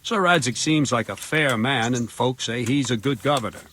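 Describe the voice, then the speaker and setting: A man's voice: medium pitch, normal speed, a bit bright, close to an everyday voice. A man playing a plainspoken, dutiful, slightly grumbling guard captain in a video game, blunt and matter-of-fact. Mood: grumbling, informative. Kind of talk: video game dialogue acting.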